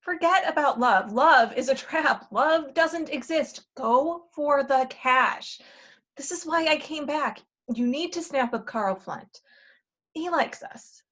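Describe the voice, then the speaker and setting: Actress doing pushy cynical woman in her twenties, high voice, blunt dry delivery, comedy play. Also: slow, a bit bright. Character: cynical, money-minded, pushy woman of twenty-seven from the future. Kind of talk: comedy play read aloud.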